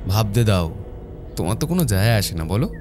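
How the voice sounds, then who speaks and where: A man's voice: lowish voice, very animated, brisk, background noise. A man playing a stern but remorseful grown son and husband in a melodramatic cartoon. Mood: angry, scolding. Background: music.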